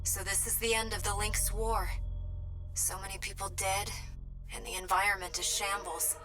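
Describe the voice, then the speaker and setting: A woman voicing an earnest, caring young mission operator in a video game, giving composed, clearly worded reports. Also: medium pitch, level tone, moderate pace, close to an everyday voice. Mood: soft, sorrowful. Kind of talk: video game voice acting, radio briefings. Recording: loud music and machinery behind. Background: loud game music and vehicle noise.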